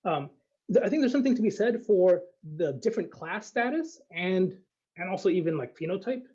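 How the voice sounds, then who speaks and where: A man speaking as an academic guest in an unscripted discussion, thinking aloud in a hesitant, halting way. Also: sits high, animated, dark tone, breathy edge, muffled recording.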